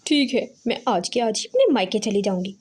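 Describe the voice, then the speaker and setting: Woman voicing a nagging, high-pitched crow wife. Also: expressive, fairly quick, darkish tone, husky. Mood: angry nagging. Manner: scolding, demanding. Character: greedy, spiteful, nagging female crow wife.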